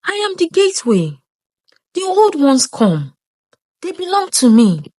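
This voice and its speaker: An ancient spirit speaks through a possessed young woman, acted out by a female storyteller. The voice is high and swings widely in pitch. It proclaims with slow menace, as in a dark storybook folktale. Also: wildly swooping pitch, dry recording, studio quality, exaggerated voice. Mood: ominous, commanding.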